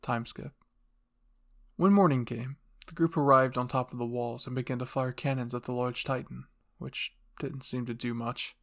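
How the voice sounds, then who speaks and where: A man delivering scripted narration as the host of a fan fiction audio channel. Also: mid-range voice.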